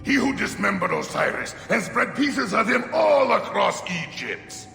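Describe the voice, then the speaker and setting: Crazed self-styled chaos god, game villain: bright, forceful, gravelly rant in a reverberant hall. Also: male voice, high-pitched, sing-song, a little slow, soft background music, full character voice. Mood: grandiose fury. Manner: grandiose, theatrical ranting. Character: deranged murderous madman believing himself god of chaos. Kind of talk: dramatic video game acting. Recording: echoing hall with long reverb.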